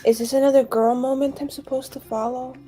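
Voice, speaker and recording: A woman's voice: sits high, level tone, brisk, over music. A woman playing a tabletop roleplaying game, chatting casually in and out of character.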